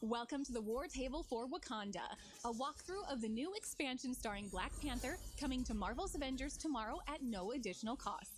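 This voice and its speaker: A woman with a fairly high voice presents a scripted game showcase. Her delivery is polished and articulate, in the manner of a promotional presenter. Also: expressive, a little fast, hardly any pauses, music in the background.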